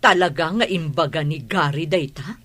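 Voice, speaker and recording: A woman's voice: sits low, moderate pace, a bit bright. A woman playing a remorseful middle-aged mother in an emotional radio drama, with a Filipino accent. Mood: anxious. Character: remorseful middle-aged mother begging her family's forgiveness. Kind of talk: Ilocano radio drama acting. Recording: music bed behind voice.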